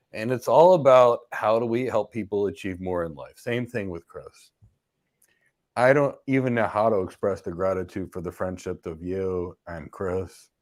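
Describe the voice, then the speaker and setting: A man hosting a live stream who is moved and grateful, speaking softly as he thanks his audience. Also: low voice. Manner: touched, grateful, soft-spoken. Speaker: host.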